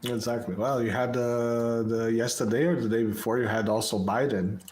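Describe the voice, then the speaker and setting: Man, podcast host, mid-pitched voice, halting and repetitive, wry, faint background noise. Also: steady tone, darkish tone. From Portugal. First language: Portuguese. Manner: halting, repeats words, wry remarks. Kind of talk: casual political discussion.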